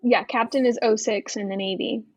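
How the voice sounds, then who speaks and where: A woman's voice: on the high side, moderate pace, husky, dry recording. Frank woman, dull dry sound. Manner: relaxed, frank, many "um" and "like". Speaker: podcast guest, Navy veteran air traffic controller. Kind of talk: casual interview chat.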